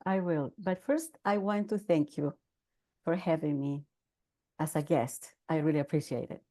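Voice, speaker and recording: Older Salvadoran woman with a mellow voice. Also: deep voice. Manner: measured, earnest storytelling with fillers. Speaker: podcast guest, author. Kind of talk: conversational interview.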